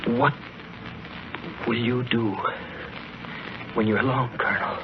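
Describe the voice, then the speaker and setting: An actor playing a weak, dying radio operator in a 1940s radio drama, halting and resigned. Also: male voice, medium pitch, steady tone, lightly acted. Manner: halting, faint, broken phrases. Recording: muffled, noisy old radio recording.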